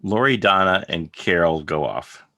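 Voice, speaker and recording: A man's voice: low-pitched, lively intonation, a little slow, bright, forceful tone, no room sound, clean studio sound. A man narrating scripted stage directions for a drama reading.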